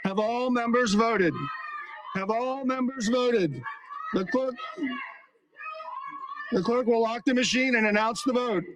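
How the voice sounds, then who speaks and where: High-voiced American man presiding, noisy chamber. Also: slow pace, long pauses. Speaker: presiding legislative officer. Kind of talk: formal procedural announcements. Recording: noisy legislative chamber clamor.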